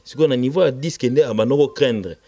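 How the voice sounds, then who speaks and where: A man with a Congolese accent and Lingala as his first language speaks as an interviewed musician, emphatic and repetitive, switching between halting French and Lingala. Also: mid-range voice, dark tone, breathy edge. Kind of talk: casual interview, recounting band history.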